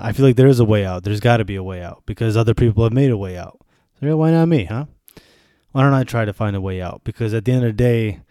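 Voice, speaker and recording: A man's voice: fairly low pitch, mellow, clear, resonant tone, no room sound, clean studio sound. A man of thirty hosting a solo podcast, venting candidly in a sardonic, freely swearing way. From born in Germany to Bosnian refugees, raised in the US. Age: 30s. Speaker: podcast host.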